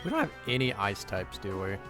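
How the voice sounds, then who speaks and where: A young man hosting a let's play with casual commentary, his voice a little off from allergies, who swears and rants in sudden excited bursts. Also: fairly low pitch, fairly quick, music in the background. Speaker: gaming video host.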